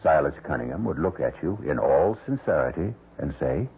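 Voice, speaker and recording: A man narrating an old-time radio drama as its host, with a measured, wry, theatrical delivery. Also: rumbling low, sing-song. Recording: muffled old radio broadcast, faint noise.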